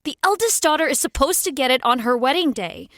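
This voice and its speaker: High-voiced, dramatic rich young heiress. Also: female voice, animated, fairly quick, a bit bright, dry recording, clean studio sound. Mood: conspiratorial, resentful. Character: young rich society daughter, flustered, lovesick and defiant. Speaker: actor. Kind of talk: 1920s period audio drama acting.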